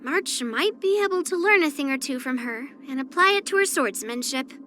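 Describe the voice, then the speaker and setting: A woman's voice: high-pitched, expressive, moderate pace, pushed and bright, slight background noise. A woman voicing a spirited, proud young swordswoman in an anime-style video game, speaking in an earnest, blunt, slightly pouty way. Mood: matter-of-fact, confident.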